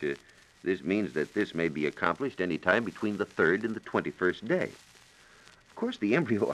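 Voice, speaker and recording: Man, low voice, highly varied intonation, precise formal explanations, 1940s radio science reporter, vintage broadcast recording. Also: fairly bright tone, poor audio. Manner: precise, methodical, formal phrasing.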